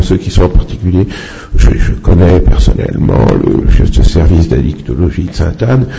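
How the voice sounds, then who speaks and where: A man speaking off the cuff in a halting, opinionated way as a doctor answering questions at a public talk. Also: deep voice, creaky, dark tone. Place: public meeting with microphone. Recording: muffled, noisy public-event recording.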